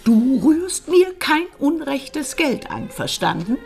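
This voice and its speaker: Commanding older matriarch, very animated. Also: female voice, mid-range voice, creaky, husky, exaggerated voice. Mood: commanding, protective. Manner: brisk, authoritative, emphatic. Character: commanding, sharp-witted older matriarch, protective and decisive. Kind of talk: German radio crime drama acting.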